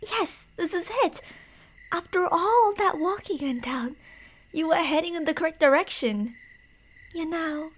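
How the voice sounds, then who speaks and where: High-voiced woman as a teasing, creepy yandere stalker. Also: animated, measured pace. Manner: playful, menacing, taunting, dropping into whispers. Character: creepy, teasing, delusional female yandere stalker narrating her prey's path. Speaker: ASMR roleplay performer. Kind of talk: ASMR horror roleplay, second-person narration.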